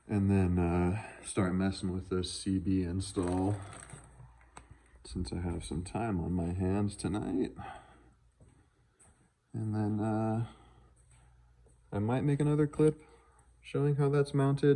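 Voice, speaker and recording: Low, mellow-voiced man, casual vlogger. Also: fairly even intonation, slow, pauses often. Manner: laid-back, offhand, unscripted. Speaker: car vlogger showing truck modifications. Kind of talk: casual handheld vlog chat.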